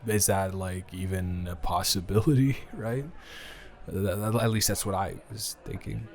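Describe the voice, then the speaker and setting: A man performs a puzzled, coaxing male lover in an intimate improvised audio drama. His voice sits low with a crackly fry. He talks slowly and haltingly, hedging and restarting as he feels his way along. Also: fairly even intonation, a crowd in the background. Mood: flustered, hesitant. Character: confused, jealous male friend with benefits, hesitant and coaxing.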